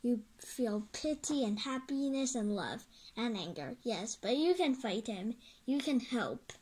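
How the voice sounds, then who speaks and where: A woman voicing a grave, gentle male scientist and mentor in a storybook, solemn and measured. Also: on the high side, lively intonation, husky, rough recording. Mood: grave, urging.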